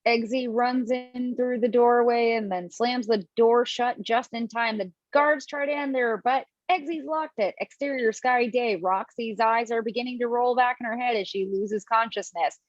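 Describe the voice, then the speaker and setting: A woman's voice: fairly high pitch, moderate pace, few pauses, no room sound. A woman reading the stage directions at a video-call table read, now and then laughing at her own slips. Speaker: script reader. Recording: dull lo-fi home mic.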